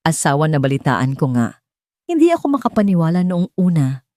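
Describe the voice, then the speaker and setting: A woman's voice: deep voice, sing-song, fairly quick, darkish tone, dry recording, studio quality. A woman storyteller voicing an elegant, jealous young woman, a former lover who is sweet on the surface and cutting underneath. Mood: coolly polite.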